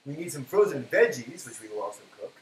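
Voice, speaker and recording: A man's voice: medium pitch, sing-song, fast talker. Male cooking-stream host, casual and explanatory, very varied intonation, echoey noisy room. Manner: chatty, explains step by step while working. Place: indoor home kitchen. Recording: echoey room with clear background noise.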